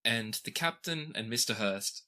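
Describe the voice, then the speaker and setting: A man runs a tabletop game as its narrator. His voice sits at a middle pitch with a bright edge, and he describes scenes in measured, improvised phrases, pausing as he goes. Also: animated. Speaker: game master.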